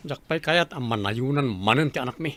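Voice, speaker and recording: A man's voice: medium pitch, lively intonation, a little fast, fairly bright tone, natural voice. A man playing an aggrieved, grumbling boarder and young father in a radio drama, complaining in a Filipino accent. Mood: grumbling, weary.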